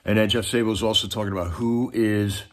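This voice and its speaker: An American man with a somewhat low voice talks as a livestream host. His delivery is loose, folksy and conversational, wandering through his commentary as if chatting with regulars. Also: steady tone, quick pace. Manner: folksy, conversational, peppers talk with "man" and "you know". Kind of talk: casual rambling livestream commentary.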